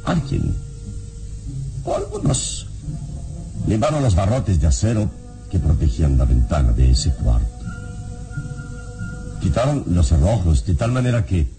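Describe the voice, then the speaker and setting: A solemn, unshakeable hero of radio adventure, very deep and rich in rise and fall. He questions gravely and firmly over prominent music. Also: big pitch swings, poor audio. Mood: probing. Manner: grave, deliberate, firm. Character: solemn, unshakeable male hero investigating murders. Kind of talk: old radio drama acting.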